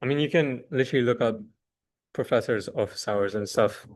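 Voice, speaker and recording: Casual young host on a video call. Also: male voice, medium pitch, a little fast. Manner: brief agreeing replies, filler-heavy.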